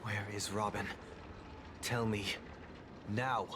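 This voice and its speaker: A man's voice: lowish voice, level tone, takes it slowly, background noise. A man playing a proud, distrustful young nobleman in a video game, curt, formal and commanding. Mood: demanding. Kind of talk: scripted video game voice acting.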